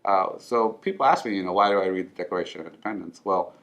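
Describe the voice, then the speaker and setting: A middle-aged man from Mexico, whose first language is Spanish, tells his own story in English. His voice sits very low and carries a gravelly edge. He speaks earnestly and often pauses on ums and restarts his sentences. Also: fairly bright tone. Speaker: financial firm owner. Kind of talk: personal story told to camera.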